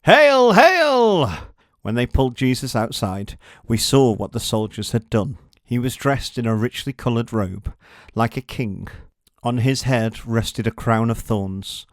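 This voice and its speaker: A man's voice: medium pitch, steady tone, no echo. Male storyteller in a dry recording. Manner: dramatic, measured storytelling. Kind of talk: scripted read-along narration for children.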